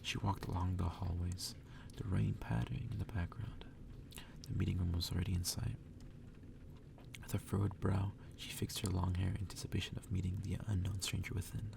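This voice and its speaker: A man reading a story aloud in a hushed, breathy ASMR whisper. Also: level tone, a little fast, background noise. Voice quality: breathy whisper, gravelly low voice. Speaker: ASMR reader.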